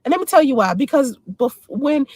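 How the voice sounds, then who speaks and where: A woman's voice: high voice, animated, a little fast, a little mellow, no echo. A woman in her forties from the Washington, D.C. area, hosting a casual livestream and speaking her mind while answering viewer comments. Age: 40s. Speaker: livestream host.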